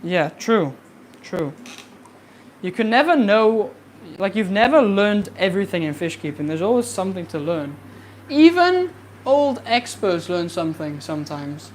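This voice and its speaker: A young adult fishkeeping streamer chatting casually and rambling with fillers during a livestream from a home fish room, with a soft, relaxing voice. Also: medium pitch, sing-song, a little slow, a little hiss or hum. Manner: easygoing, rambling, many fillers. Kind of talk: casual livestream chat while cleaning tanks.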